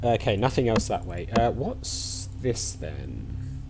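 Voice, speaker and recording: A man's voice: on the low side, sing-song, slow, over music. A man giving casual let's-play commentary as a channel host, chatting and thinking aloud in questions as he plays. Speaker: video game player and channel host.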